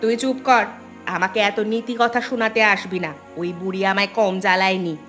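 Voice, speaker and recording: A woman playing a bossy, resentful, scheming village mother who scolds in an animated story. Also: mid-range voice, normal speed, faint music behind, close to an everyday voice. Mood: spiteful. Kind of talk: Bengali animated story dialogue.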